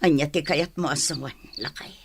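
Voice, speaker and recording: A man's voice: fairly high pitch, normal speed, creaky, noisy background. A man with an Ilocano accent acting a stern, nagging village mother in a comedy drama. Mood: scolding.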